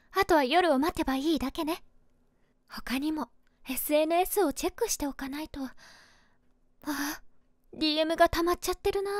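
A composed streamer in her late twenties acted by a woman in a Japanese voice drama. She is feminine and privately smitten, questioning herself as she muses aloud. The voice is high, recorded close and dry. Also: dry recording. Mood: calm, businesslike. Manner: feminine, softly self-questioning musing. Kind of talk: Japanese situation voice drama monologue.

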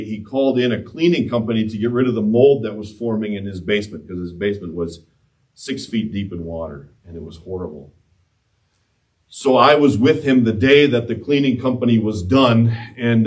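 A man in his thirties preaching a sermon in a church sanctuary, swinging between loud impassioned rises and hushed quiet passages. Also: mid-range voice. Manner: gestures widely while preaching standing before the altar. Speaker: pastor. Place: church sanctuary, mid-sized, indoors. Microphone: far camera, no handheld mic. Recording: speaker distant from the mic.

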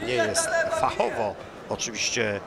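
A man giving live commentary on a cage fight, rising to shouts at big moments. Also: on the low side, huge pitch swings, a little slow, creaky, very noisy. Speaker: MMA commentator. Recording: loud arena crowd and music.

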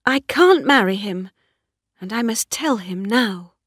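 A woman's voice: medium pitch, expressive, a little slow, fairly bright tone, husky, no room sound, studio quality, pitched above the performer's own voice. A woman voicing a proud, headstrong, lovestruck young mistress of a farm, emotional and insistent, as storybook dialogue. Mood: anxious, lovestruck.

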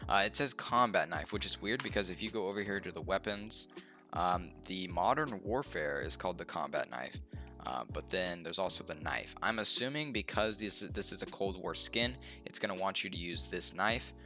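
A man's voice: low-pitched, normal speed, faint music behind. Stuffed-up young man explaining a game. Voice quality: nasal. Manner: relaxed, rambling, lots of ums and uhs. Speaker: gaming youtuber. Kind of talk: casual game walkthrough. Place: home room, indoors.

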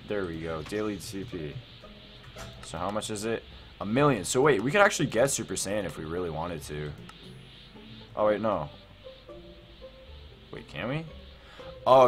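A teenage male with a Canadian accent gives casual, rambling gameplay commentary, repeating himself for emphasis. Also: lowish voice. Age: teens. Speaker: gaming YouTuber.

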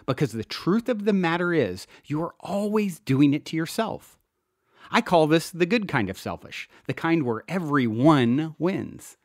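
A man reading his own self-help audiobook as scripted narration, earnest and measured, with deliberate emphasis. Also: on the high side, no room sound, studio quality. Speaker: podcast host and author reading own audiobook.

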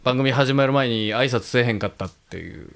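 A young man co-hosting a radio show, reading out comic game pitches. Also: brisk, creaky, smooth. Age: young adult. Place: small indoor studio room. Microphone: stand microphone with headphones.

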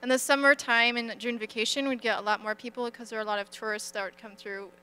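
Bright-voiced young woman from France. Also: a little high, steady tone, quick pace, smooth. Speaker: student panelist. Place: school auditorium stage.

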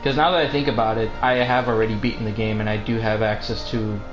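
A man's voice: fairly low pitch, steady tone, fast talker. A man streaming a video game, chatting casually as he plays. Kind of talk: casual live-stream chat while gaming. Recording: roomy room sound, game music behind. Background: video game music.

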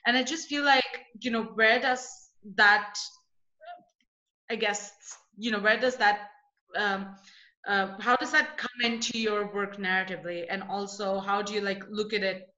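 A young woman interviewer asking searching, halting questions full of fillers, heard over a video call. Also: mid-range voice, fairly even intonation, sharp tone, reverberant. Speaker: interviewer, poet. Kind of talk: casual interview. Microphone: laptop webcam on video call. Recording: dull lo-fi video call audio.

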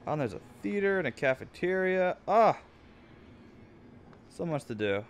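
A man's voice: high-pitched, big pitch swings, normal speed, a little hiss or hum. A man giving casual, wry, joking commentary as he plays a video game. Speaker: gamer, host. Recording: game music behind the voice. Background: video game music.

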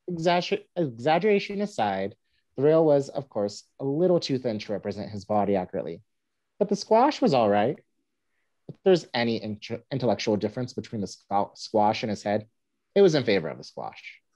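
A wry young newspaperman played by a woman spins a tall tale in a staged play reading. The voice is very low and soft-edged, swinging up and down with dry, self-mocking humour. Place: home room on a group video call.

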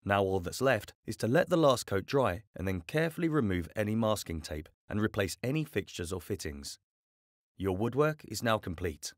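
A man calmly narrating a scripted home-improvement tutorial in a clear, measured way. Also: lowish voice, no echo, studio quality. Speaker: voice-over narrator.

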